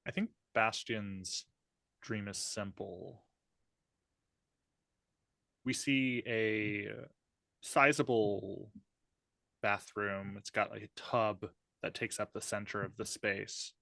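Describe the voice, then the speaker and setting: A man's voice: lowish voice, fairly even intonation, slow pace, vocal fry, long pauses, sharp tone, no room sound. A man improvising a scene description as a player in a tabletop roleplaying game.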